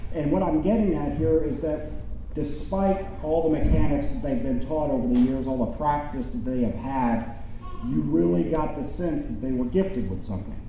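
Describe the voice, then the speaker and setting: A man's voice: mid-range voice, warm tone. A middle-aged American man preaching a conversational homily as a deacon, informal and often checking in with his listeners. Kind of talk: conversational sermon with rhetorical questions. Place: church interior at a lectern. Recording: very echoey church, faint background noise.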